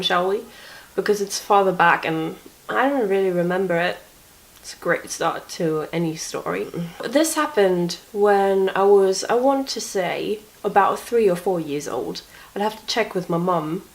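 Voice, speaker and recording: A woman's voice: medium pitch, expressive, measured pace, rough recording. A young woman telling stories from bed in a chatty, wry, self-mocking way, sniffly and coughing from a cold. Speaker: vlogger. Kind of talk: casual storytelling vlog from bed.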